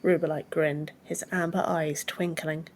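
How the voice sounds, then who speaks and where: A woman narrates a fantasy audiobook in a fairly low register. The delivery is scripted storytelling, read as the narrator of the tale. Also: little pitch movement, unhurried, darkish tone, a little hiss or hum.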